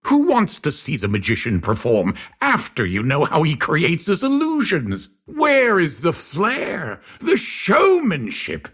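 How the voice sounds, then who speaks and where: A sinister, grandiose circus ringmaster commands a video game carnival. His voice is husky and unhurried, swooping dramatically from low to high. He savors each florid phrase with a pompous showman's flourish. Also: heavily acted. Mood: disappointed, wounded. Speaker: video game villain and overseer. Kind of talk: theatrical scripted game voice lines.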